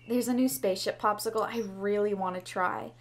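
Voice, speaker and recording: A woman's voice: medium pitch, steady tone, moderate pace, close to an everyday voice. A woman playing a shy, sweet schoolgirl with a crush in an ASMR roleplay, speaking in a bashful, hesitant, rambling way. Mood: shy, friendly. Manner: bashful, hesitant, chatty, giggly. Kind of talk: ASMR roleplay, scripted to the listener. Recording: clearly audible background ambience noise.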